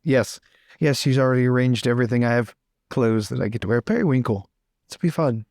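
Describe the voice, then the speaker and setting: A man playing a chatty, excitable lord in an improvised roleplay, talking in a rambling, theatrical and emphatic way. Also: mid-range voice, fairly quick, dry recording, studio quality, natural voice. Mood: self-assured.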